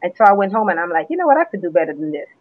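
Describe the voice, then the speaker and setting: A woman from Jamaica, a guest poet, chatting in an interview and telling her story in a relaxed way with restarts, heard over a phone line. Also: on the low side, rapid-fire, no room sound. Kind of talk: casual interview chat. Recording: tinny phone line, dry.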